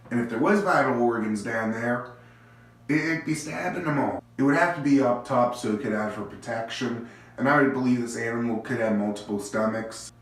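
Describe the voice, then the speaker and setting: Male host with a stuffy cold, low-energy rambling musings, very echoey bathroom recording, a bit off-mic. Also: distant mic. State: congested, stuffy-nosed. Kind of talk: casual speculative monologue. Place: small home bathroom.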